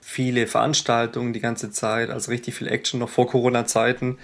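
A man's voice: mid-range voice, completely flat delivery, brisk, vocal fry. A man hosting a casual interview, chiming in with short, easygoing remarks.

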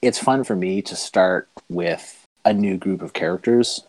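A man's voice: low voice, a little slow, darkish tone, no room sound, rough recording. A man with a Maritime Canadian accent, chatting casually and self-deprecatingly as a comic book writer being interviewed. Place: remote call recording.

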